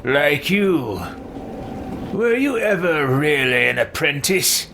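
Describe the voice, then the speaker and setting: A man's voice: on the high side, sing-song, slow pace, vocal fry, background noise, heavily acted. A man voicing a haughty, grouchy, power-hungry old wizard in video game roleplay, theatrical and curt. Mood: haughty, intrigued.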